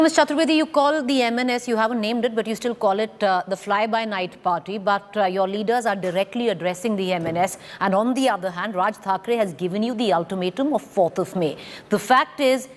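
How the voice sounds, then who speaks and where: A woman's voice: mid-range voice, in a large hall. A woman with an Indian accent working as a news interviewer, putting challenging, pressing questions about politics.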